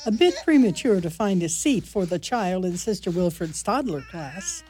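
A woman's voice: on the low side, sing-song, normal speed, noisy background. A woman playing a tart, sarcastic convent sister in a community radio play. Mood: dry. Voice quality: dry and sarcastic. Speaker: nun. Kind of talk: community radio drama acting.

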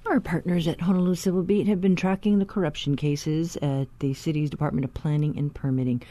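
A woman hosting a radio news interview in a conversational, halting and informal way. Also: sits low, fairly even intonation, dark tone, faint music behind. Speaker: radio host.

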